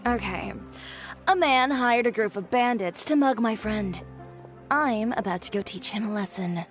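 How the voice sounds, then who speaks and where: A woman playing a brusque, self-assured female video game character with a blunt, deadpan manner and dry wit. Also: on the high side, a little slow, soft background music, lightly acted. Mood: blunt, determined.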